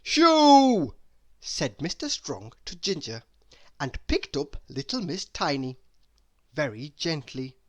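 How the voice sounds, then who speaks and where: A storybook narrator reads for young children with a bright, forward voice. The delivery is unhurried and expressive, with pitch that moves around in a lively way. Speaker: storybook reader. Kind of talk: children's read-aloud narration.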